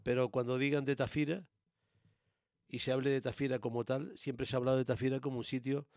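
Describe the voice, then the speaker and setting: A man's voice: medium pitch, flat delivery, fairly quick, darkish tone, no echo, studio quality. A man from the Canary Islands with a local Spanish accent, chatting casually and opinionatedly in an explanatory, emphatic way, repeating phrases to drive a point home. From born in Tenerife, raised in Gran Canaria, Canary Islands.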